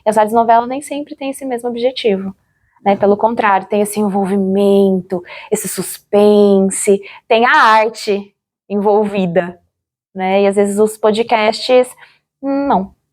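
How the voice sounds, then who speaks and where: A woman's voice: medium pitch, steady tone, unhurried, darkish tone. A young woman with a Brazilian accent talking casually and reflectively in an interview, chatty, often repeating words for emphasis. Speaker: former radio drama actress, interviewee.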